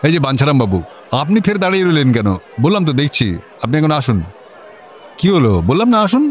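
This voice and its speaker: A man playing a senior district official in a comic radio drama, brisk and dismissive, repeating his phrases impatiently. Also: fairly high pitch, sing-song.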